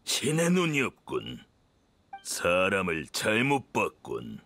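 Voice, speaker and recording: A man's voice: low-pitched, huge pitch swings, takes it slowly, sharp tone, slight background noise, full character voice. A man voicing a cold, menacing swordsman in a video game, speaking tersely and dismissively. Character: cold, curt, menacing swordsman searching for someone.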